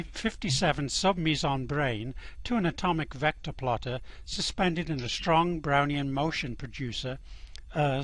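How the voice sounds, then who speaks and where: A man plays a wry guidebook narrator in a comic space radio play. His voice is a little high, explanatory and scripted, recorded dry with no room sound. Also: a bit bright, no room sound.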